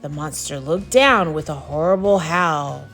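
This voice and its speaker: A woman reading aloud as a teacher, telling a children's story in an expressive, deliberate way. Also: low voice, soft background music. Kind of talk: animated children's storybook narration.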